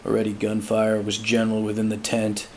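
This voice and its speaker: A man giving a dramatic reading of literary fiction in a measured, solemn storytelling manner. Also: fairly low pitch, monotone, a little hiss or hum. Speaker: amateur audiobook reader.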